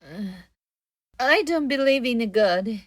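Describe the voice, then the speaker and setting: A woman's voice: on the high side, animated, slow, dry recording, studio quality. An actress playing an earnest, naive young woman investigator in a radio drama, with a hesitant way of talking. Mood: subdued.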